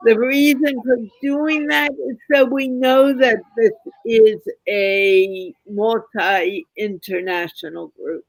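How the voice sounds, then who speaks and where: Woman, host, slow, directing participants, fairly high voice, dry sound. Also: steady tone, no room sound, poor audio. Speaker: host of online group. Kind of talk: casual group video call.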